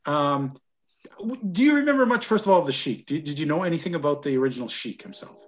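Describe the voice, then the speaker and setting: A man's voice: on the high side, expressive, quick pace. A man interviewing a guest, asking curious, casual follow-up questions. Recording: dull lo-fi sound.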